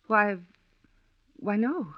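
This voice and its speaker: Radio drama companion: young, deferential, halting, measured pace, dull period recording. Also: female voice, medium pitch, animated. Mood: uneasy, guarded. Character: uneasy, polite young female companion, guarded. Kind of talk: old-time radio drama acting.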